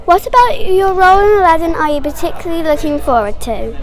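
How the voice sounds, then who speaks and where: A woman interviews theatre actors, reading her prepared questions carefully. Her voice is very high. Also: expressive, quick pace.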